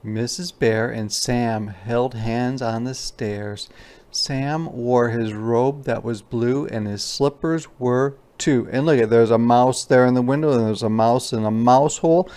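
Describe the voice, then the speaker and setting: A man with a mid-pitched voice reads a storybook for young children. He goes at an unhurried pace and adds simple, informal explanations like a teacher. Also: a little mellow.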